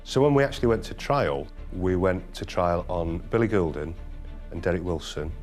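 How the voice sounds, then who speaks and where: A man's voice: deep voice, animated, normal speed, a little mellow, soft background music. A man from the prosecution side, recalling a case in a documentary interview.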